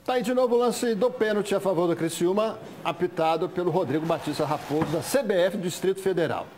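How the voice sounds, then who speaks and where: A man's voice: high-pitched, fast, darkish tone, slight background noise. A Brazilian man hosting a sports show from a TV studio, presenting and chatting with the panel.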